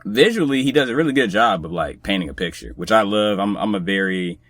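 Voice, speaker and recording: A man with a lowish voice talks as a guest on a podcast. His delivery is casual and conversational. The recording is very dry, without room sound. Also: lively intonation, fast talker, fairly bright tone, dry recording.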